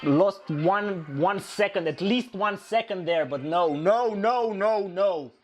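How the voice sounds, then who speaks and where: Hoarse man, high voice, slow delivery; excitable live race reactor who repeats himself. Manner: excitable, shouting at overtakes, repeating phrases. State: hoarse, losing his voice.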